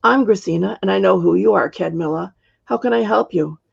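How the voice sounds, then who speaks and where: A woman voicing a brisk, decisive, matter-of-fact woman magician in a storybook reading. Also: mid-range voice, gravelly, husky, highs cut off. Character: brisk, capable woman magician taking charge.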